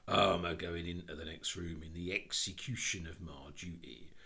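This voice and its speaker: Man playing a gruff, stony policeman. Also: low voice, steady tone, normal speed, bright, forceful tone, heavily acted. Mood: gruff, stubborn. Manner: gruff, blunt, colloquial. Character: gruff, stony, stubborn working-class male policeman. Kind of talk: storybook reading.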